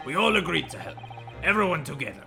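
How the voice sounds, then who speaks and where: Lady putting on a russian sailor voice, broken english, low and swingy, game music going. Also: animated, fast talker, creaky, bright, forceful tone, exaggerated voice. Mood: pleading. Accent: put-on Russian. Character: Russian ship's seaman, earnest, confessing. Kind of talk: video game dub reading. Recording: game music playing underneath.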